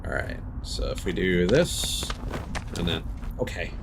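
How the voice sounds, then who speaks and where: A man giving casual live commentary as he plays a video game, talking haltingly as he thinks aloud. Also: low-pitched, lively intonation, vocal fry, background noise.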